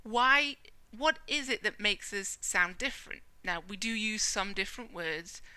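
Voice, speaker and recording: A British woman teaching a Spanish lesson, explaining clearly and checking in with the listener as she goes. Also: mid-range voice, measured pace, bright, forceful tone, no echo. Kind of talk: whiteboard language lesson.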